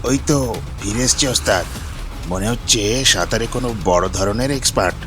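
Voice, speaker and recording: A man playing a fawning, excitable henchman of a village bully in a cartoon. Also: lowish voice, normal speed, natural voice. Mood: puzzled. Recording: music playing behind the voice.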